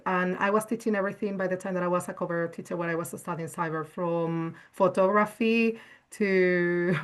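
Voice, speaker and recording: A woman's voice: medium pitch, fairly bright tone. A woman from Spain with a Spanish accent, answering questions on an online panel in a chatty, enthusiastic way, often tagging on "right?". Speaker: cybersecurity analyst guest.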